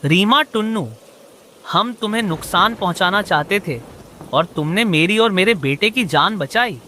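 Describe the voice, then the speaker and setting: Man acting a bright, high-voiced scheming crow husband. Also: expressive, unhurried, a little hiss or hum, full character voice. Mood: remorseful. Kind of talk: Hindi moral-story cartoon dialogue.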